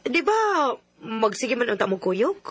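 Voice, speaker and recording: A woman's voice: fairly high pitch, very animated, measured pace, breathy edge, full character voice. A woman with a Cebuano accent acting a secretive, emotional young lover with a hesitant, plaintive manner in a radio drama. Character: secretive, emotional young woman torn between two men. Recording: faint background noise.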